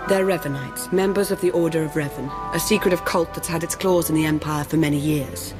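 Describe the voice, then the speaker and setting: A woman playing a composed, formal and self-assured dark lord in a video game. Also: deep voice, steady tone, brisk, natural voice. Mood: grave. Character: poised, composed female Sith Lord. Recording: long reverb, faint music. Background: music.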